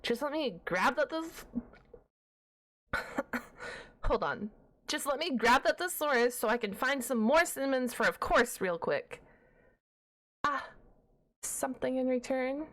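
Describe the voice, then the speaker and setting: A woman playing a shy, high-voiced half-dragon librarian. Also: animated, fairly bright tone. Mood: wary, sarcastic. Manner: flustered, halting, self-correcting, playfully indignant. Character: shy, nerdy young half-dragon librarian, flustered and huffy. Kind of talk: ASMR roleplay, one-sided dialogue to the listener.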